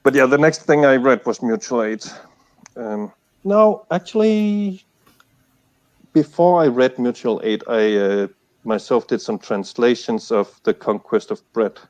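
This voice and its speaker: A man's voice: medium pitch, a little slow. A man from Denmark, a conference panelist, talking through his reading history in a casual academic discussion, hesitant and full of fillers. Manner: hesitant, thinks aloud with many fillers.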